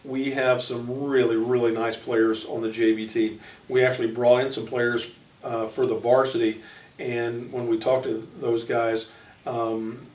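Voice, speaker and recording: American guy coach intro-ing his team, flat pitch, kinda halting, roomy far mic. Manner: halting, pausing between short phrases. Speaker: college basketball coach. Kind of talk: informal spoken team introduction. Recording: echoey room, distant microphone.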